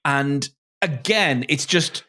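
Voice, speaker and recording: A middle-aged man talking casually and enthusiastically about books and films, in halting, stop-start phrases. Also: mid-range voice, pushed and bright.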